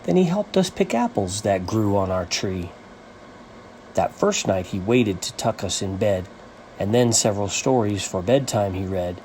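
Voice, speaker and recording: A man reading a rhyming children's story as its author, with an animated, sing-song rhythmic delivery. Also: on the low side, unhurried, slight background noise, low-quality recording.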